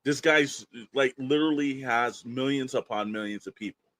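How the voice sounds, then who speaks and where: A man's voice: medium pitch, moderate pace, fairly bright tone. A man co-hosting a podcast, talking in a casual, slangy, emphatic storyteller's way that rambles along. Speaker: podcast co-host.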